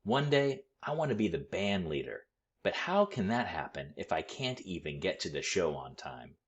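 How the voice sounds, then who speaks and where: A man's voice: low-pitched, big pitch swings, moderate pace, lo-fi sound. A man voicing an earnest little boy musician in a storybook, with a plaintive way of talking. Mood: wistful worry. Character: earnest little boy trombonist, worried, aspiring band leader. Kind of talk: storybook character voice.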